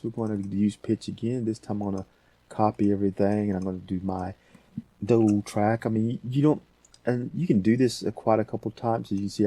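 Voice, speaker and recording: A man hosting a casual software tutorial, thinking aloud in an unscripted way. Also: lowish voice, no echo. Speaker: tutorial host.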